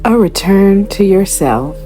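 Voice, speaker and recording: A woman guiding a scripted meditation in a gentle, deliberate manner, pausing between short phrases. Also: medium pitch, lively intonation, soft background music. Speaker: meditation guide, host. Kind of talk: guided meditation, scripted spiritual narration.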